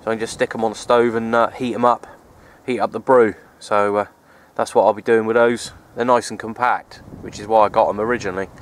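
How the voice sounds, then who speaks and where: A man, rambling casually in a low-key, resigned way as he narrates a vlog on a handheld camera. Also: flat delivery, brisk, few pauses. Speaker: outdoor radio hobbyist vlogger. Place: outdoors on an open wooded hilltop. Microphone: handheld camera's built-in mic, held by speaker. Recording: outdoor handheld camera, wind and ambient noise.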